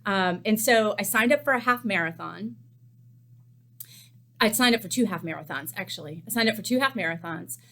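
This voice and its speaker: A woman's voice: mid-range voice, animated, moderate pace, bright, forceful tone, a little hiss or hum. A woman in her fifties, a chef hosting a cookbook series, talking candidly to a desk webcam in a small cooking studio, with lots of ums. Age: 50s. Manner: chatty and candid, many ums. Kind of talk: casual chat to camera.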